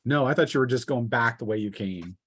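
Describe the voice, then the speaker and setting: A man narrating a tabletop role-playing game as game master, in a halting, hesitant delivery with improvised phrasing. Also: medium pitch, a bit bright, husky, dry recording.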